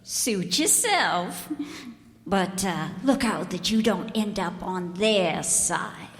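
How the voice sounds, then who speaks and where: Woman playing a slow, hard-edged shop assistant. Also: on the low side, lively intonation. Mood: warning, cool. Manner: blunt, guarded, deliberate. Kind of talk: old-time radio detective drama acting. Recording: noisy, reverberant hall recording.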